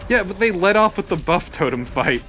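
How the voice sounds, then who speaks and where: A man's voice: sits high, animated, brisk, sharp tone, low-quality recording. A man giving casual, sarcastic banter as co-commentator on a gaming video, swearing freely. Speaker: co-commentator on a let's play. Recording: game music behind the voice. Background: video game music.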